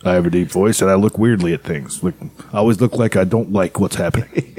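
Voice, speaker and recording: A man co-hosting a casual podcast chat, loud and joking, full of swearing and riffs. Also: sits low, quick pace, slightly rough. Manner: loud, joking, profane, riffing. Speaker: podcast co-host.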